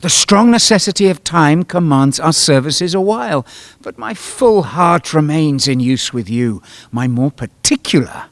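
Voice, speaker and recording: A noble Roman general of classical tragedy, speaking to his lover with formal, impassioned declamation. His pitch moves widely and the sound is dry and close. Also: expressive, unhurried, no room sound. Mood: earnest, pleading. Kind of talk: classical Shakespearean stage acting.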